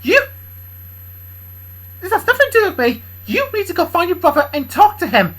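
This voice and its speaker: Woman doing a high bossy worrier girl, loud and preachy, scolds hard. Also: very animated, moderate pace, exaggerated voice. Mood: angry. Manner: pleading, then sharp and shouty. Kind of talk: improvised fan story voicing.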